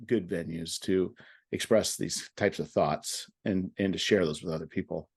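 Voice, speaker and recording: Halting low-voiced man hosting. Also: gravelly, husky, no room sound, clean studio sound. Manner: halting, many you-knows and restarts. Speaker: podcast host. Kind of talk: casual podcast conversation.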